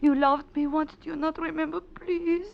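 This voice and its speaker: An actress playing a frightened former lover, breathless and imploring, in a melodramatic 1940s radio play. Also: female voice, high voice, steady tone, moderate pace, creaky. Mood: terrified pleading. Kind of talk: 1940s radio drama acting. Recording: dull vintage radio recording.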